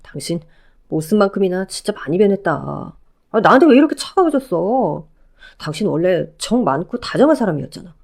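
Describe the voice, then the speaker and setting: A woman's voice: mid-range voice, big pitch swings, fairly quick, creaky, a little mellow. A wheedling ex-husband who keeps pleading and feeling sorry for himself, read by a woman. His voice swings up and down as he begs. He sounds like a figure in a told drama story. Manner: wheedling, whiny begging. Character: pleading, self-pitying middle-aged ex-husband.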